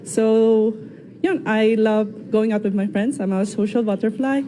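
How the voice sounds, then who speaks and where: A young woman from Spain answering pageant interview questions, nervous and quick to apologise. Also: on the high side, warm tone, rough recording. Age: young adult. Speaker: beauty pageant contestant.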